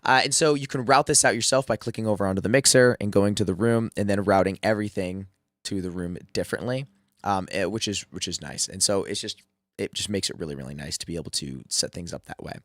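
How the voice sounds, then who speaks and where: A man's voice: low voice, quick pace, fairly bright tone, no echo, clean studio sound. A man who is sick and losing his voice, casually walking through a drum mixing tutorial with lots of fillers and restarts. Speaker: music producer teaching drum mixing. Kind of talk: screen-share mixing tutorial.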